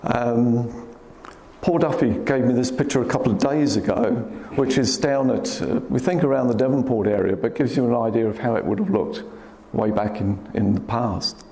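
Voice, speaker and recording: A man with a quiet voice, giving a public talk about birds, gently pointing out places on his slides. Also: medium pitch, normal speed, vocal fry. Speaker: guest speaker, wildlife photographer. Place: hall at a club meeting. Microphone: speaker's microphone. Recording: echoey hall, faint room noise.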